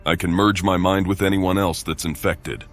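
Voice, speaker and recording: An actor playing a stoic, cautious adventurer in a fantasy game, terse and serious. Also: male voice, low-pitched, steady tone, moderate pace, a bit bright. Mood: matter-of-fact. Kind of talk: video game voice acting. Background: background music.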